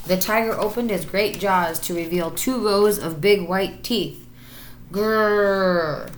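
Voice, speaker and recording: A woman teacher reading a children's story aloud with expressive narration. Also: mid-range voice, unhurried, roomy sound, a little hiss or hum.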